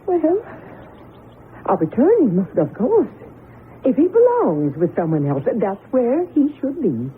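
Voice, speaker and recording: Through a thin, noisy old radio recording comes a high-voiced, middle-aged wife. She is emotional and breathless, repeating her words as she pleads, and her pitch swings widely in classic radio drama fashion. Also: wildly swooping pitch. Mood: pleading, insistent. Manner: breathless, emotional, halting repetitions. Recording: muffled lo-fi radio broadcast with noise.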